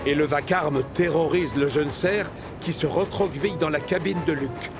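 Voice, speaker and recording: A man narrating a French children's cartoon like a storybook, expressive and dramatic in his storytelling. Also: fairly high pitch, moderate pace. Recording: music behind.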